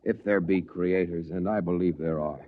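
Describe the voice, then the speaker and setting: A man's voice: low voice, steady tone, moderate pace, natural voice. An actor playing a devout, steadfast man of faith in a 1950s radio drama, speaking earnestly and formally. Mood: prayerful. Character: devout, steadfast male believer aboard a tiny ship. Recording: muffled, noisy old radio recording.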